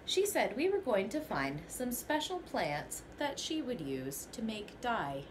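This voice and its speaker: A woman's voice: fairly high pitch, very animated, unhurried, noisy background. A young woman teacher reading a children's picture book aloud in a small bedroom, telling it expressively in the first person.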